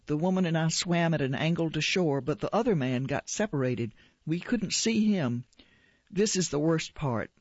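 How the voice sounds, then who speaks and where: A woman reading aloud as an older Albanian-born photographer recalling a sea rescue, in a plain steady way. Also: a little high, dry recording. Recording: dull lo-fi radio recording.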